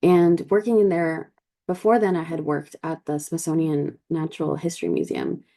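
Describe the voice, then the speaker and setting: A woman's voice: low-pitched, steady tone, moderate pace, warm tone. A woman in her twenties from Colombia hosting a podcast, telling personal stories in a casual, reflective way and peppering her talk with "like". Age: 20s. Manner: informal, peppered with "like" as a verbal habit. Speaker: podcast host.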